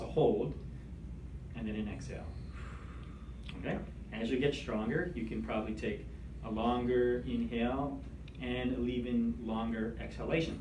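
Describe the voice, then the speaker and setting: A man leading a meditation class, speaking in a gentle, soothing, conversational way. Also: mid-range voice. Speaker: meditation instructor. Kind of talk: guided meditation instruction. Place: room with attendees seated in chairs. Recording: echoey room, distant mic, background noise.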